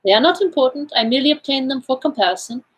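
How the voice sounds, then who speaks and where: A courteous Belgian detective from a storybook mystery, played by a woman. He explains things deliberately and formally, with great self-assurance. The voice sits high and has a husky edge. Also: lively intonation. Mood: precise, explanatory. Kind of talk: audiobook character dialogue.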